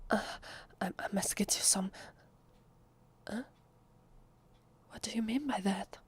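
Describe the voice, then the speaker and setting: Secretive vampire girl, acted by a woman for ASMR roleplay: Italian accent, slow, husky whispery delivery, flustered and fragile. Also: mid-range voice. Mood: confused, apologetic. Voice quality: soft, breathy, often whispery. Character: secretive female vampire, nervous, fragile and defensive. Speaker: voice actress.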